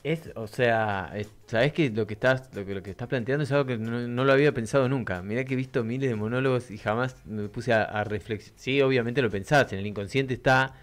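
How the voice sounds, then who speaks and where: A man's voice: mid-range voice, a little fast, hardly any pauses. Chatty Argentine man hosting radio. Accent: Argentine, Buenos Aires. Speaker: radio host. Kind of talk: casual radio interview. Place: small radio studio. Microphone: close studio microphone.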